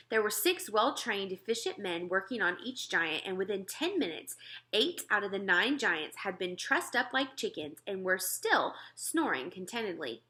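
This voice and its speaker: A woman narrating a children's storybook aloud expressively, with dramatic, deliberate emphasis. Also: mid-range voice, pushed and bright.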